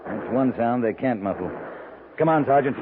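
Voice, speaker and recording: An American actor playing a seasoned, terse US Army cavalry captain in a 1950s radio western, speaking with clipped authority. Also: male voice, mid-range voice, animated, normal speed, natural voice. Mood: hushed, tense. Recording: tinny, very noisy 1950s radio recording.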